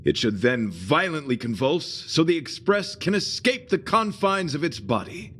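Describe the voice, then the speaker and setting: A man's voice: a little high, very animated, moderate pace, fairly bright tone, slight background noise. A man voicing an earnest, idealistic young knight in a video game, courtly, florid and theatrical. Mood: noble resolve. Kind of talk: video game character acting.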